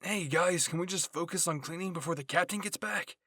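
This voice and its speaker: Man voicing an earnest, higher-pitched young anime soldier. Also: brisk, sharp tone, no room sound, studio quality, full character voice. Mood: concerned, chiding. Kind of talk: character voices in a fan fiction reading.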